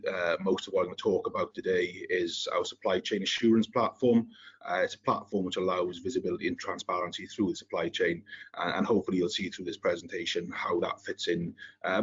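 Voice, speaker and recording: A man's voice: low-pitched, breathy edge. A man with a thick Welsh accent gives a business webinar presentation over a video call, hesitating with many fillers and restarts. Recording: muffled lo-fi online call audio.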